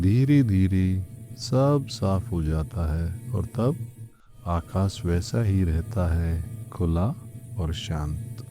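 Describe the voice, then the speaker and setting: A man leading a scripted guided meditation in a calm, soothing, gently instructing way, leaving long pauses. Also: sits low. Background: background music.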